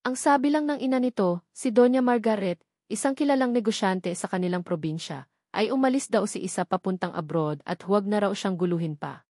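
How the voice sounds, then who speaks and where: A woman's voice: mid-range voice, fairly quick, warm tone, no room sound, clean studio sound. A woman storyteller giving a scripted, dramatic narration of a romance story.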